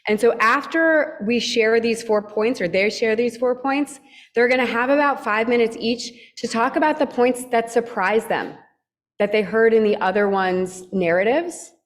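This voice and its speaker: A woman's voice: a little high, normal speed, in a large hall. A woman moderating a panel event, explaining the program in a friendly way with some fillers. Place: event hall.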